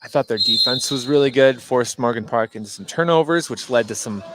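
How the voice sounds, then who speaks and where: A man's voice: mid-range voice, fairly quick. A man giving conversational color commentary on a live basketball game. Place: indoor basketball gym during a game. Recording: faint gym noise behind the voice.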